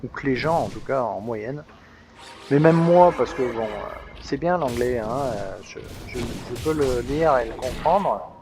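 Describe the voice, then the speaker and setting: A man talks over his gameplay in a relaxed, meandering way, stopping and restarting his sentences often. His voice has a gravelly, creaky edge at a middling pitch, with a faint hiss of noise underneath. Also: a little slow. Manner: rambling, hesitant, full of fillers and restarts. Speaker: let's play host. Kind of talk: casual gameplay commentary.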